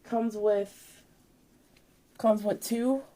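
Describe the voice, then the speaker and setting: A woman's voice: mid-range voice, steady tone, very slow, mellow. Casual young woman showing off her stuff. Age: 20s. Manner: rambling, informal, hands-on explaining. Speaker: vlogger showing purchases. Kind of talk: casual show-and-tell vlog.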